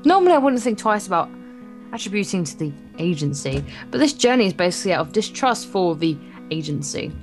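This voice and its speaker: A woman's voice: mid-range voice, big pitch swings, a little fast. A woman co-hosting a let's play, reading a visual novel aloud with playful, casual banter. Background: soft guitar music.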